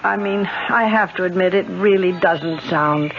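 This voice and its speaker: An actress playing a kindly, well-off city lady in a 1970s radio drama, speaking politely and hesitantly as she gently probes. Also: fairly low pitch, a little slow, music in the background. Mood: gently persuasive. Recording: dull, noisy old radio broadcast.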